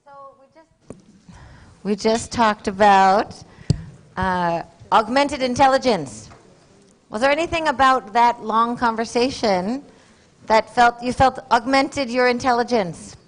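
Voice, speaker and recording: A woman with a slightly high voice moderates a conference session, friendly and conversational. She coaxes the audience along with easy encouragement. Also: lively intonation, slow pace, long pauses. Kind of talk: event moderation.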